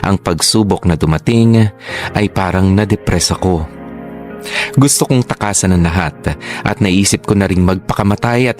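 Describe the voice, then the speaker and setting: Casting: male actor as sombre Filipino radio drama narrator, low register, reading heartfelt letters. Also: darkish tone, close to an everyday voice. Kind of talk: scripted first-person radio drama narration.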